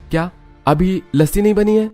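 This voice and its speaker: A kindly young working father from a cartoon voiced by a man. His voice sits slightly high for a man. Light music plays behind him. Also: moderate pace, darkish tone, natural voice. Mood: mild, earnest. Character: kindly hardworking young father, a buttermilk seller. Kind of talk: cartoon story acting.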